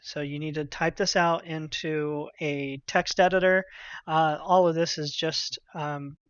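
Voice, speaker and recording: A man narrating a software tutorial in an informal, conversational, slangy way. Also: fairly high pitch, steady tone, a little slow, clean tone, no echo, highs cut off. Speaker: tutorial presenter.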